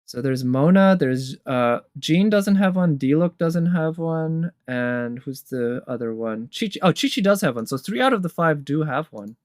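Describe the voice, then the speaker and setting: A man's voice: on the high side, expressive, normal speed, few pauses, dry recording, studio quality. A man giving casual, enthusiastic reaction commentary as a host, thinking aloud as he goes. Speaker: host of a game trailer tier list. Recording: music behind the voice. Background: game trailer music.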